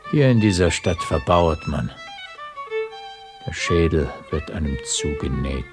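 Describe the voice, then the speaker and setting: An actor playing a world-weary, sardonic small-town doctor in a German radio play, ponderous and resigned. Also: male voice, a bass voice, expressive, a little slow, over music.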